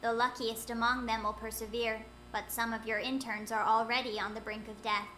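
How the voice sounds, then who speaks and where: A woman's voice: on the high side, level tone, normal speed, pushed and bright, slight background noise. A woman voicing a stern woman negotiator who speaks in a cold, clipped and formal way, in a fan audio drama. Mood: cold anger.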